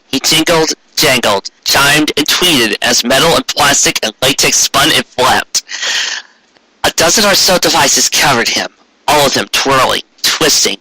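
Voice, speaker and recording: A man reading a story aloud in an expressive, theatrical way, lingering over lists of words. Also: medium pitch, husky, no room sound, rough recording. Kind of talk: expressive scripted narration with occasional asides.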